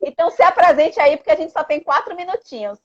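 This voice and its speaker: High-voiced earnest Bahian woman, muffled livestream. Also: animated, fast talker, a bit bright. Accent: Brazilian, from Salvador, Bahia. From Salvador, Brazil. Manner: earnest, exhortative, with frequent tag questions. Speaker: host of a live chat, activist parent. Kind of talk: casual live chat. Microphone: live social media video call. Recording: dull lo-fi livestream audio.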